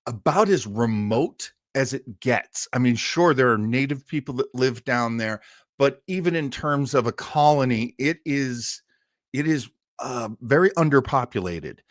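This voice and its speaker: A man's voice: medium pitch, expressive, a little slow, no echo, studio quality. A man hosting an old-time radio show, chatting casually and enthusiastically as he introduces a story in a rambling way.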